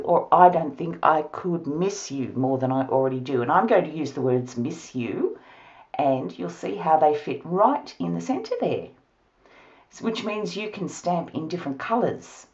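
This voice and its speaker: A hoarse Australian woman with a low voice talks through a card-making project, her pitch swinging up and down as she chats. She laughs now and then between instructions. The sound is a little muffled and lo-fi. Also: darkish tone. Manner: chatty, laughs often. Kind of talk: crafting tutorial narration. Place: home craft studio, at a table.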